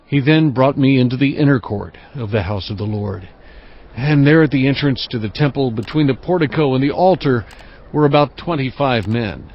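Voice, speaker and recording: A man narrating a dramatized scripture reading in a measured, steady storytelling way. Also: mid-range voice. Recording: dull lo-fi audio with music bed. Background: music.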